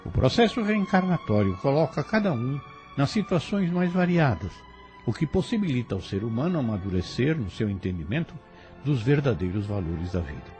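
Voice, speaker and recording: A man gives a slow, reflective spiritual reading in a solemn tone. His mid-pitched voice rises and falls a great deal, and faint music plays under it. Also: big pitch swings, a little mellow. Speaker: narrator. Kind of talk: spiritual commentary, scripted narration.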